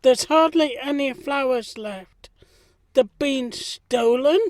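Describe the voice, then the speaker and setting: A man's voice: extremely high pitch, takes it slowly, no room sound, clean studio sound. A man playing a mild-mannered gardener in a period audio drama. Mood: dismayed. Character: mild-mannered gentlemanly gardener, proud, simple, in 1919.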